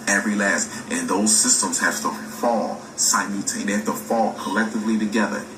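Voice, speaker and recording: A man's voice: fairly low pitch, steady tone, a little fast. A man in his forties giving an impassioned, unscripted, preachy talk, emphatic and repetitive, swearing as he challenges the listener. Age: 40s. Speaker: speaker on a spiritual talk video. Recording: echoey, distant mic with music behind.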